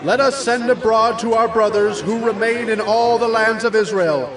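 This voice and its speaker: A man's voice: extremely high pitch, steady tone, normal speed, crowd noise. A man playing an earnest, commanding warrior king who speaks with solemn deliberation in a dramatized scripture reading. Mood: earnest, rallying. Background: music.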